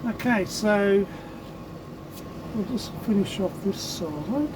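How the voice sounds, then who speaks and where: A man with a croaky voice, talking through his lure painting in an unhurried, thinking-aloud way. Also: high-pitched, animated, noisy background. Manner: unhurried, thinking aloud while working, with many ums. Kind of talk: casual hands-on tutorial commentary.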